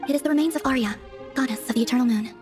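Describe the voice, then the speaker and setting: A serene young moon goddess acted by a woman in a video game. The voice is fairly high and soft, with formal, detached phrasing that flows rather briskly. Faint music plays underneath. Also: lightly acted. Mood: solemn. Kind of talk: video game cutscene acting.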